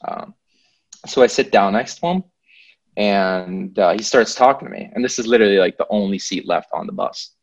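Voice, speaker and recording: A man's voice: fairly low pitch, normal speed, gravelly, highs cut off. A young man telling an anecdote in casual conversation, repeating himself for emphasis. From Sweden. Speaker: podcast participant telling an anecdote. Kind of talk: casual chat, storytelling.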